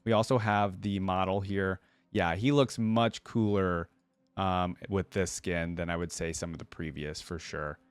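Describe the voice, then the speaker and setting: A man's voice: low voice, steady tone, a little slow, clear, resonant tone, no room sound. A man hosting a reaction video, commenting casually and thinking aloud in an informal way.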